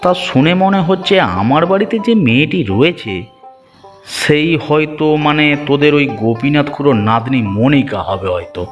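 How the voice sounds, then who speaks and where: A man's voice: a little high, lively intonation, normal speed, highs cut off, faint music behind, natural voice. A man voicing a kindly, good-natured village fisherman, chatty and inquisitive, in a moral-story cartoon.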